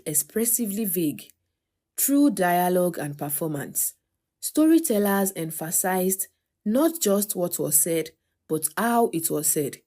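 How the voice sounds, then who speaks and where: A woman narrating a scripted documentary in a measured, articulate way. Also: on the low side, warm tone.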